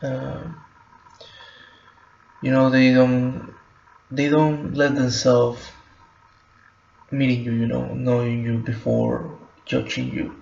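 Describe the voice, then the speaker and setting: Halting man, flat and gravelly. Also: mid-range voice. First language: Spanish. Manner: halting, many fillers and restarts. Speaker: vlogger. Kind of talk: personal reflective monologue. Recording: roomy, muffled home recording.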